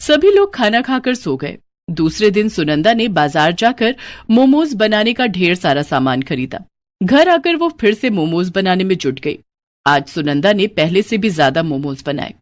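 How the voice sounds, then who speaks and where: A woman narrating a children's cartoon moral story in steady, simple scripted storytelling. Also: fairly low pitch, moderate pace.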